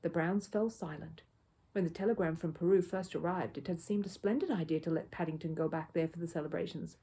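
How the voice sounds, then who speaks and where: A woman's voice: fairly low pitch, fairly even intonation, a little fast, mellow, breathy edge. A woman reading a children's story aloud as scripted narration. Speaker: storybook reader.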